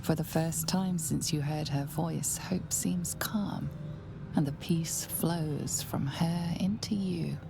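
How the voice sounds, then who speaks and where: An actress playing a solemn storyteller, delivering hushed scripted narration for a video game. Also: female voice, deep voice, slow, creaky, noisy background, full character voice. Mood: soothing.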